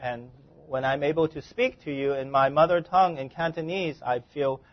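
A man interpreting a sermon phrase by phrase, with formal church wording and a halting delivery. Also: fairly high pitch, fairly even intonation. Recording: dull, muffled lo-fi sound.

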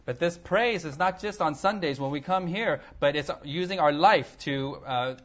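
Male sermon interpreter, lighter pitch, halting phrase-by-phrase delivery, dull lo-fi recording. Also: fairly bright tone. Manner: halting, searching for words. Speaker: church interpreter. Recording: dull, lo-fi audio.

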